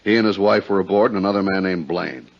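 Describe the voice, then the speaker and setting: A hearty insurance adjuster in an old radio drama. His voice is deep and his talk plain and conversational. The vintage recording sounds muffled. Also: fast. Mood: matter-of-fact, insistent. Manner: matter-of-fact, conversational. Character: hearty, plain-spoken male insurance adjuster briefing a friend. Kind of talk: 1950s radio drama dialogue. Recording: dull 1950s radio recording.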